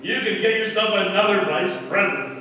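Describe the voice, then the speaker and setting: High, urgent husband in radio drama male actor. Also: fairly even intonation, brisk, bright, forceful tone. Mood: bitter anger. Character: fed-up scheming husband caught between wife and mistress. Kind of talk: 1940s radio drama acting. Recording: distant, echoey hall, background noise.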